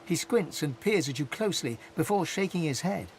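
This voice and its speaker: An actor narrating a fantasy video game in a measured, literary, solemn style. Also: male voice, on the high side, expressive, slight background noise. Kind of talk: scripted narration.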